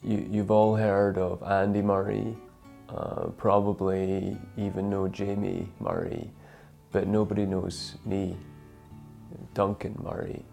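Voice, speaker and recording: A man playing a hapless overlooked brother, low gravelly drawl. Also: animated, dark tone, soft background music, full character voice. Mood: mournful. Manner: slow, hesitant.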